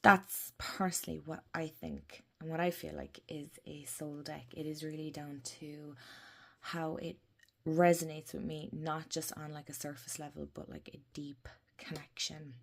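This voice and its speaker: A woman chatting casually to camera about her tarot decks, croaky from a head cold, rambling with laughs and soft murmured asides. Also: deep voice. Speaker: tarot deck vlogger. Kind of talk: casual vlog chat to camera. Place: indoors at a desk.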